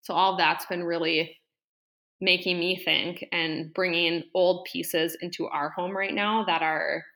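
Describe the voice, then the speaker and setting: A woman guesting on a podcast, chatting casually and rambling with lots of fillers and quick laughs. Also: fairly low pitch, steady tone, a bit bright. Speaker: podcast guest, interior design business owner. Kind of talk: casual interview chat.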